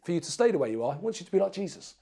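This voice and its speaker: This is an English man with a fairly high-pitched voice. He talks as an earnest interview guest, pressing each point with emphasis. He often puts rhetorical questions to make his case. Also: big pitch swings, very fast, vocal fry, dark tone. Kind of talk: animated interview talk. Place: small indoor studio room. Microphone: lapel mic.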